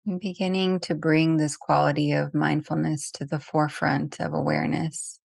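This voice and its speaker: A woman leading a guided meditation as a teacher, speaking gently and unhurriedly with long pauses. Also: sits low, little pitch movement, vocal fry, no echo.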